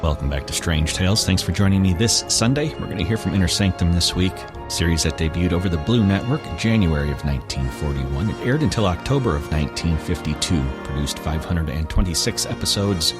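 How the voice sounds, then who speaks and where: A man's voice: sits low, animated, fairly quick, hardly any pauses. A man hosting a podcast, reading scripted introductions and announcements. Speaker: podcast host. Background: loud music.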